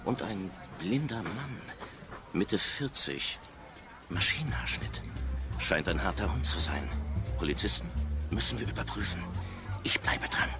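A super low-voiced watcher in a crime audio drama, clipped report, music behind. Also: male voice, lively intonation, a little slow, long pauses. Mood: terse, secretive. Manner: clipped, telegraphic. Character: deep-voiced observer murmuring a clipped surveillance report.

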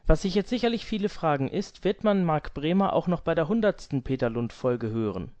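Guy, voice a bit high, podcast host, polite and orderly, does questions and announcements. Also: lively intonation, a little fast, a little mellow.

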